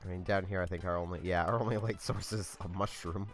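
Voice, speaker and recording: Young guy doing a let's play, pretty low flat voice, kinda scratchy, rambly, soft music under it. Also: quick pace. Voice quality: slightly scratchy. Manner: monotone, low-key, rambling with many "like"s. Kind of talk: casual gameplay commentary.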